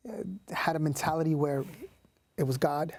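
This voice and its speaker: A man's voice: fairly high pitch, flat delivery, normal speed, darkish tone, no echo. A man in his thirties, born in Puerto Rico, talking casually as an interview guest with many fillers and restarts. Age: 30s. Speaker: youth leader.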